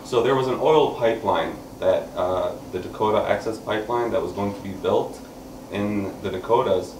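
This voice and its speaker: A man's voice: on the low side, a little mellow. A man in his thirties at an open mic, earnestly explaining to a small seated audience before reading a poem. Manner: earnest, gesturing while talking, many pauses. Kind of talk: spoken word poetry and explanation. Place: small indoor room, seated on a couch with an audience. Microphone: far camera, no mic seen. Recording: echoey room, camera from far off, background noise.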